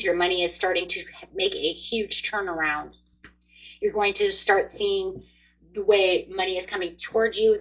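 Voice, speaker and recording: A woman's voice: on the low side, fairly bright tone, poor audio. A woman in her forties giving a chatty, upbeat tarot card reading, speaking straight to her viewers. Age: 40s. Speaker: tarot reader.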